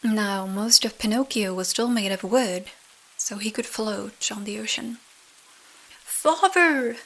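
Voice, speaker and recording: A woman narrating a bedtime story in soft, breathy, soothing ASMR style. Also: mid-range voice, steady tone. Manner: slow, soothing. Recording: rain sounds behind the voice. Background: rain.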